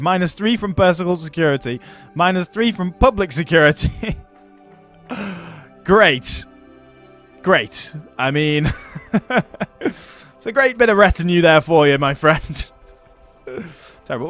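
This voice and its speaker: A man's voice: sits high, fairly bright tone. A man giving casual let's-play commentary on a strategy game, chatting, laughing often and talking to his units as friends. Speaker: gaming YouTuber.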